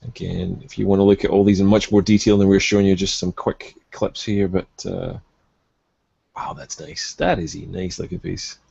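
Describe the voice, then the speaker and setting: A man hosting a casual chat about collectible toys, with a husky, gravelly voice. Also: low-pitched, little pitch movement, normal speed, warm tone, no room sound, highs cut off. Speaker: podcast host.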